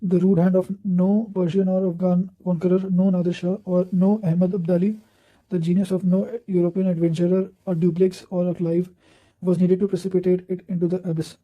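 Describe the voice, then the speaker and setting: A man's voice: high-pitched, level tone, a little fast, highs cut off. A man reading historical quotations aloud from a script in a formal, bookish manner, occasionally stumbling.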